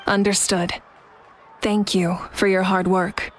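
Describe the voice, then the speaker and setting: A woman voicing a composed, kind female leader in an anime-style video game, speaking in a measured, earnest, reassuring way. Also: medium pitch, flat delivery, faint music behind, lightly acted. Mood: solemn.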